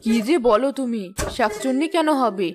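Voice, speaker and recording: A woman's voice: on the high side, moderate pace, music in the background, lightly acted. A woman playing a busy, mildly scolding village mother in a cartoon, practical and no-nonsense. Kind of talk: cartoon voice acting.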